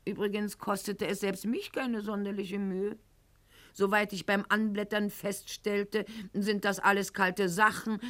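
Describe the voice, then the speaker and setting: An actress playing a proud, sharp-tongued aristocratic lady in a radio play monologue, speaking in an articulate, ironic and haughty manner. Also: medium pitch, close to an everyday voice. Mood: dry, ironic. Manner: haughty, ironic, articulate, addressing a silent listener.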